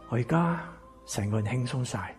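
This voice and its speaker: A man plays an earnest, remorseful counsellor with a Hong Kong Cantonese accent. His delivery is heartfelt and measured, at a middling pitch, as in a radio play. Faint music sits behind him. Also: lively intonation, lightly acted. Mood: relieved. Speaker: actor in a stage radio drama. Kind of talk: dramatic radio play acting.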